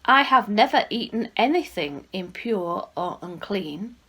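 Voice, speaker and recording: A woman reading a Bible passage aloud in a measured, expressive way, as a scripture reader. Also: medium pitch, slightly rough.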